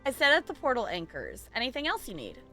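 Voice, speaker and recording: A woman's voice: fairly high pitch, huge pitch swings, fast talker, pushed and bright, soft background music. A woman streaming a video game live, commenting expressively and playfully and reading dialogue choices aloud.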